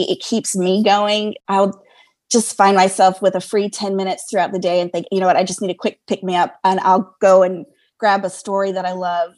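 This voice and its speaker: A woman's voice: mid-range voice, a little mellow. A woman with a mid-range voice talks as an interview guest. She is earnest and conversational, stressing her points by building up repeated phrases. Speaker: podcast guest, fan fiction writer.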